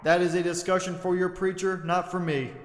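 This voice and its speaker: Echoey hall, high male voice, actor playing officious agent, cold, stiff, curt, comic audio drama. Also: little pitch movement, brisk, lightly acted. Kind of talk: comedic audio drama acting.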